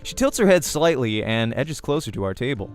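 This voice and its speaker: A man's voice: medium pitch, big pitch swings, brisk. Man narrating a visual novel over music. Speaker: let's play host and reader. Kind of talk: narrating a visual novel aloud, acting dialogue.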